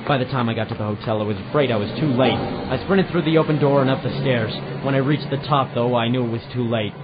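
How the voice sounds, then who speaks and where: A man's voice: medium pitch, quick pace, over music, close to an everyday voice. A man playing a hard-boiled, world-weary private eye in an audio drama, narrating in a wry noir style. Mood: tense, matter-of-fact. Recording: dull lo-fi home recording, background hiss.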